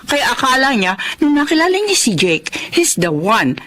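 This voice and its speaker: A woman's voice: high-pitched, moderate pace, heavily acted. An actress playing a blunt, exasperated young Filipino woman who bosses her friends into making peace in a radio drama. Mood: worried, gossiping. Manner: blunt, animated, exclaiming.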